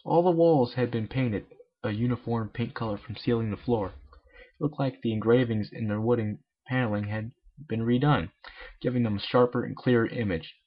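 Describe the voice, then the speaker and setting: A man's voice: mid-range voice, moderate pace, slightly rough, dull audio. A young man reading fan fiction informally, with jokey, self-deprecating asides. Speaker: amateur fan fiction reader.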